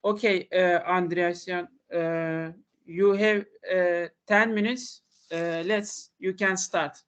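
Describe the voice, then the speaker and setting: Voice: medium pitch, steady tone, slow pace, clean tone. A speaker moderating a conference session politely and hesitantly. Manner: hesitant, polite, filler-heavy.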